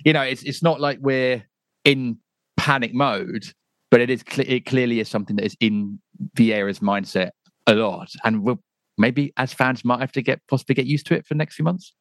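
A man's voice: mid-range voice, moderate pace, fairly bright tone, no echo. A man hosting a football podcast, talking in a chatty way with lots of restarts and asides. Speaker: podcast host. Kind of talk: casual football chat.

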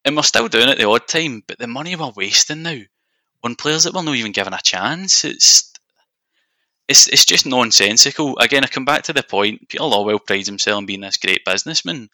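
A man's voice: mid-range voice, lively intonation, brisk, sharp tone, no echo. A young man with a Scottish accent, speaking as a podcast guest and football fan in casual chat. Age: young adult.

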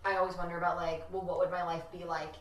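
A young woman co-hosts a podcast in casual, animated chat, scattering "like" through her talk. Her voice sits a little low, and an echo hangs around it as if she is in a bare room. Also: fast talker, a little hiss or hum. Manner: chatty, animated, fillers of "like". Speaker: podcast co-host. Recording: roomy echo.

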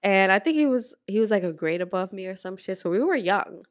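A young woman from the Dominican Republic co-hosting a podcast, telling frank, profane stories in casual chat with quick asides. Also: mid-range voice, expressive, dry recording, clean studio sound. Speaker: podcast co-host.

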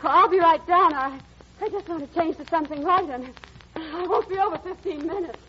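A woman's voice: very high-pitched, fairly quick, a little hiss or hum. An actress playing a wistful, easily flustered middle-aged mother in a classic radio drama. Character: mature widowed mother, flustered, wistful and guarded. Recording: dull lo-fi old radio recording.

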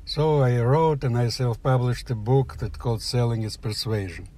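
An older man from the former Soviet Union, speaking English with a Russian accent, telling a personal story in halting, plain phrases. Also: mid-range voice, warm tone. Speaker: guest storyteller. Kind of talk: personal story told aloud.

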